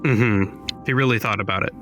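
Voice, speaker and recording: Bright-voiced man chatting during a game. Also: fairly low pitch, normal speed, faint music behind. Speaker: player in a tabletop role-playing podcast. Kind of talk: casual game chat.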